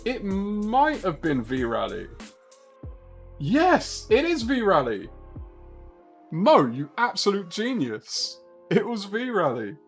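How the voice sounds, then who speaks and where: A man in his thirties streaming a racing game live, chatting casually and rambling with a laugh now and then. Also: fairly high pitch. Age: 30s. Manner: chatty and rambling, laughs often, talks to chat.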